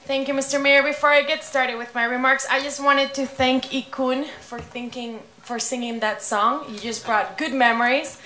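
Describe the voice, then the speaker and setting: Councilwoman, slightly high voice, friendly and thankful, roomy chamber. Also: fairly even intonation, sharp tone. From Venezuela. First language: Spanish. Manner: friendly, thankful, laughs easily, halting with ums. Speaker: city councilmember. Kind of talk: council meeting remarks.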